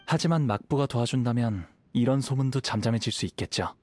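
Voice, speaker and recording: An actor playing a modest young wandering samurai in a game, calm, polite and reflective. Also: male voice, mid-range voice, fairly quick, no room sound, close to an everyday voice. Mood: humble, reserved. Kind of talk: game voice acting. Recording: music behind the voice.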